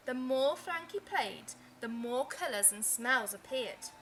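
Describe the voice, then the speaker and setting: Bright, animated woman reading a children's storybook. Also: high-pitched, a little hiss or hum, poor audio. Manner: expressive, deliberate, with dramatic emphasis. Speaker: teacher reading a picture book aloud. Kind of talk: children's storybook read-aloud.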